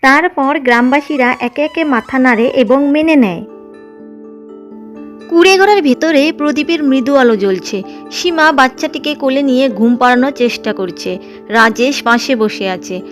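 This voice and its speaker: A woman narrating a cartoon moral story in a steady, gentle way, with faint music behind. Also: high voice, normal speed. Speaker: narrator and voice of Seema.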